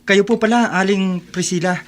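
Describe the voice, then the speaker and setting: An actor with a Filipino accent playing a sensible, nagging teenage schoolboy friend in a radio drama. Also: male voice, high-pitched, fairly even intonation, normal speed, faint music behind, natural voice. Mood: nervous, polite. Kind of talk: Tagalog radio drama acting.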